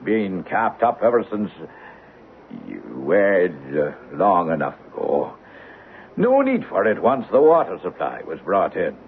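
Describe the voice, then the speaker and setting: Through a tinny, noisy old radio broadcast comes an old Irish village publican. He is folksy, talkative and superstitious, with a lilting delivery that swings widely in pitch as he spins out his rambling tales. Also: wildly swooping pitch, slow, exaggerated voice. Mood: wary, evasive. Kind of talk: old-time radio drama acting.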